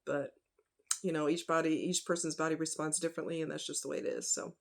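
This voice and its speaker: A woman with a slightly low voice talks casually, as if to regular viewers of her vlog. Her way of speaking is folksy and conversational, and she puts emphasis on her points. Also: level tone, fast talker, creaky. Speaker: vlogger.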